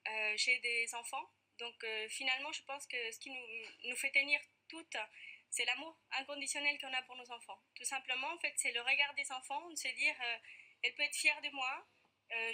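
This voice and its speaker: Woman, Argentine accent, high-pitched, very bright, earnest, hesitant, TV interview guest. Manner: earnest, many hesitations with "euh". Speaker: guest, parent activist. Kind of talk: TV interview answers. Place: TV studio.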